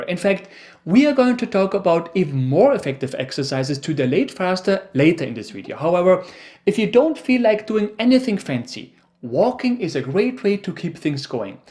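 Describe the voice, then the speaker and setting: A man's voice: high-pitched, very animated, moderate pace, darkish tone. A man with an Austrian accent, presenting an educational video as the science expert, with dry, teasing humor.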